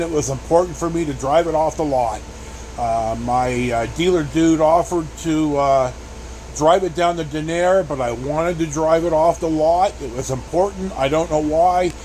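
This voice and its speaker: Male vlogger, notably high voice, loose plain-spoken storytelling, recorded on the go with clear traffic and engine noise. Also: a little mellow. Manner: rambling, plain-spoken, mildly profane. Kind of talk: casual rambling storytelling vlog. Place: inside a moving car and outside on a car lot. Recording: noisy vehicle and outdoor background. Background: car and road noise.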